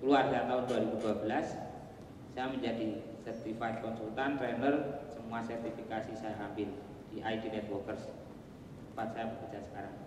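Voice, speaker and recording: A man with a Javanese accent giving a relaxed conference talk, with joking asides and plenty of fillers. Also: mid-range voice, a little hiss or hum. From Java. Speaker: conference presenter. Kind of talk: casual technical presentation. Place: large hall. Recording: echoey hall, voice from far off.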